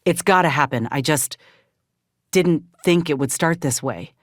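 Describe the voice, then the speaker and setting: An actor, a woman, playing an earnest, steadfast ex-soldier in a space frontier video game, speaking in a plainspoken, measured drawl. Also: low-pitched, no room sound, clean studio sound. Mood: somber, resolute. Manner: folksy, plainspoken, measured delivery. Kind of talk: video game character dialogue.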